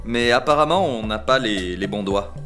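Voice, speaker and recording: A man's voice: fairly low pitch, lively intonation, normal speed, fairly bright tone, faint music behind. A man playing a chatty, slightly grumbling start-up employee in a comic audio drama.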